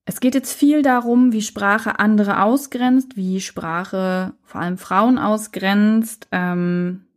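A woman's voice: medium pitch, slow pace. A woman from Saxony-Anhalt hosting her own podcast, talking alone in an earnest, thoughtful way with frequent hesitations. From Saxony-Anhalt, Germany. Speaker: podcast host. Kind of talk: reflective solo podcast monologue.

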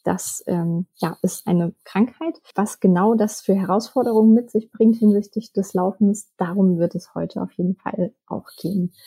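Female interviewer, deep mellow husky tone, friendly, occasional hesitations. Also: normal speed, few pauses. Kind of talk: podcast interview.

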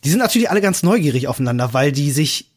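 A man's voice: a little high, big pitch swings, very fast. A man co-hosting a podcast in casual chat, explaining things with enthusiasm. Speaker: podcast co-host.

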